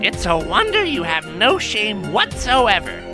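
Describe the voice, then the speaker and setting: Young male cartoon monkey female performer, excitable wisecracker, extremely expressive pitch, clear background music. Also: unhurried. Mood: cheeky. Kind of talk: scripted comic cartoon dialogue.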